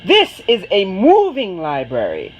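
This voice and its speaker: Lady doing kind welcoming librarian guy in a kids story, slow and expressive. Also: medium pitch, slight background noise. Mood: reassuring. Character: kindly, welcoming traveling village librarian man with burros.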